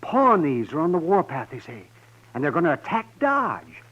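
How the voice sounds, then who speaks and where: An American actor playing an old, chatty frontier town doctor in a 1950s radio western, raspy, folksy and fretful. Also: male voice, on the high side, huge pitch swings, moderate pace. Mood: fretful, gossipy. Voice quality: raspy, husky. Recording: muffled 1950s radio recording.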